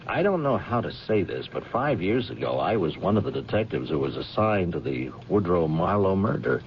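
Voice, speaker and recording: An actor playing a courteous, puzzled police lieutenant in 1970s radio drama, speaking in a hesitant, deliberate, polite way. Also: male voice, deep voice, sing-song. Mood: hesitant, surprised. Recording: dull old radio recording, faint noise.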